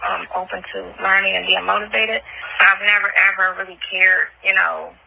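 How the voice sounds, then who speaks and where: A woman's voice: medium pitch, level tone, a little fast, vocal fry, bright, forceful tone. A woman chatting casually and bluntly in slangy, candid talk on a recorded phone call. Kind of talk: casual intimate phone chat.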